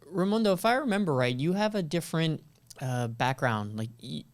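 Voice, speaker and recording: A man's voice: a little high, expressive, a little fast. A man with an American accent hosting a casual remote interview, asking questions in a conversational way. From United States. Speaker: interview show host.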